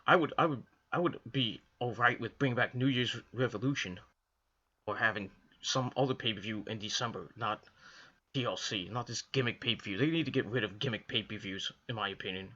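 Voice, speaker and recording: Hoarse man rambling about wrestling. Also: on the low side, a little fast, sharp tone, highs cut off. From Northeastern US. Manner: rambling, repetitive, frequent restarts and fillers. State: hoarse, coming down with a cold. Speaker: wrestling video reviewer. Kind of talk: casual solo commentary to camera.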